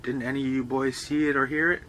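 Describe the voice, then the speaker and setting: A man's voice: mid-range voice, flat delivery, fast, pushed and bright, slight background noise, pitched above the performer's own voice, natural voice. A man voicing an impatient young golfer who questions the boys curtly. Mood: demanding.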